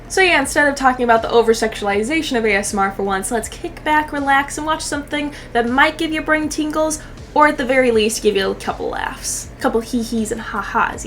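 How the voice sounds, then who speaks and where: A woman's voice: a little high, fairly bright tone. A woman in her twenties giving casual, sardonic, sweary comedic commentary as a YouTube host, with slight background noise. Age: 20s.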